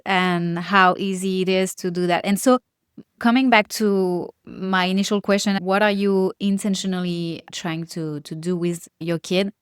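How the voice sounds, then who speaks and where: A woman's voice: fairly low pitch, smooth, dry recording, studio quality. A woman hosting a conversation, asking curious, probing questions. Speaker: podcast host. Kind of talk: interview questions.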